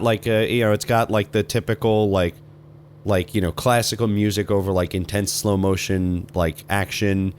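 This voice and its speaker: A man's voice: fairly low pitch, slight background noise. A man in casual improvised comedy banter, talking hesitantly and often restarting. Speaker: improv podcast performer.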